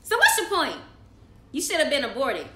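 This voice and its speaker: A woman's voice: high voice, huge pitch swings, moderate pace, a bit bright, full character voice. A woman playing a brash, pregnant young girlfriend in an amateur comedy table read, sassy, blunt and slangy. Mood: scornful, annoyed. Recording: roomy, echoey room.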